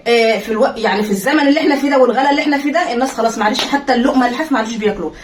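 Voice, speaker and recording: A woman's voice: a little high, echoey room, a little hiss or hum. A woman with an Egyptian Arabic accent chatting casually in a home vlog, cheerful and encouraging, laughing often. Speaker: vlogger.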